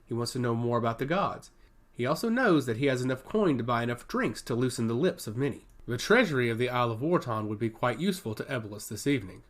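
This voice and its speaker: A man narrates a fantasy story from a script. His mid-pitched voice moves widely up and down, giving the storybook reading an animated lilt.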